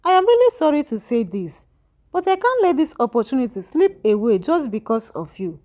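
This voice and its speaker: A woman's voice: high voice, sing-song, fairly quick, dark tone. A woman voicing a troubled, ambitious husband in a storybook tale, earnest and emphatic. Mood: apologetic, firm. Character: troubled, ambitious husband, hesitant but self-serving. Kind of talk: storybook dialogue.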